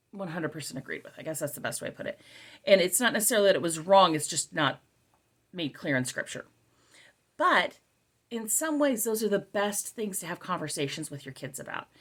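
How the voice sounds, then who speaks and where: A middle-aged woman with a slightly low-pitched voice talks casually, as a reviewer addressing viewers. She leans on key phrases and repeats them to stress her point. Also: fairly bright tone. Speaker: homeschool product reviewer.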